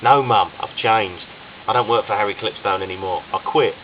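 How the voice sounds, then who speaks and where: A man's voice: on the low side, normal speed, lo-fi sound, slight background noise, lightly acted. A man voicing a thug claiming to have changed, falsely cheerful and menacing. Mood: smooth. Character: reformed-thug son, menacing calm.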